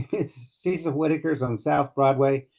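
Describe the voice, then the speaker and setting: A man's voice: on the high side, measured pace, vocal fry, a little mellow. A man organizing a local meetup, talking easygoing and a little hesitant in a casual group video call. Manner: easygoing, hesitant, laughs lightly.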